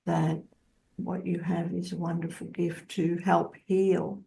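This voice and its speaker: A woman hosting a spiritual interview, speaking solemnly in halting, short phrases. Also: on the low side, little pitch movement, vocal fry, warm tone, a little hiss or hum, rough recording. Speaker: interview host.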